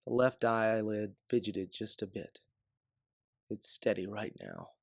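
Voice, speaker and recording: A man's voice: on the low side, wildly swooping pitch, unhurried, gravelly, no echo, clean studio sound. A man reading scripted dramatic fiction as a storyteller, narrating in the second person.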